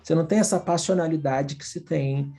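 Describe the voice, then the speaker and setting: A man's voice: fairly high pitch, brisk. A Brazilian man, a design professor, telling candid stories in a casual, slangy conversation with a Brazilian Portuguese accent. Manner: animated, candid, slangy storytelling. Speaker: guest, design professor abroad.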